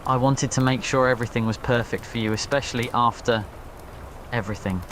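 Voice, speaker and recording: Guy doing a protective young wizard boyfriend, hushed n tender, asmr roleplay, faint noise behind. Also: mid-range voice, fairly even intonation, brisk, lightly acted. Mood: warm, caring. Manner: quiet, tender, reassuring.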